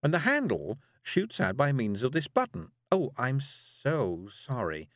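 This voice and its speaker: A timid, genteel lady witch from a storybook, acted out by a male narrator, pitched well up. The delivery dithers and apologizes, with lively swings in pitch and a close, dry sound. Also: a little slow, no room sound. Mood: modest, apologetic. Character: fussy, absent-minded, timid middle-aged woman witch.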